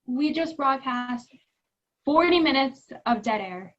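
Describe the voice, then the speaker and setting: A young woman radio technician played by a student speaks slowly, puzzled and sheepish, in an understated comic delivery. The video-call audio is a little dull. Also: high voice, expressive. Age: teens. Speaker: student actor. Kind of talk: comic stage-play acting over video call. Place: home room, video call.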